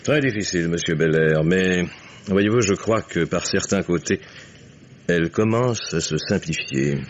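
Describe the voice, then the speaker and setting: An actor playing an older male investigator in an old French radio mystery, courteous and insinuating, with measured irony. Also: low-pitched, a little hiss or hum. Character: shrewd older investigator, courteous, ironic, accusing father. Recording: dull vintage radio recording.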